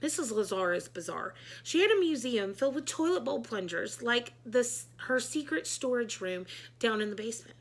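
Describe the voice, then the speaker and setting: A woman reading a children's book aloud in an animated, emphatic storytelling style. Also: a little high, normal speed, gravelly.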